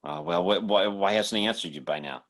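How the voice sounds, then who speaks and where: An actor playing an anxious young man who narrates a supernatural horror tale in old-time radio style, with a halting delivery. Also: deep voice, fairly bright tone. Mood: anxious, stammering. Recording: old-time radio drama with faint music.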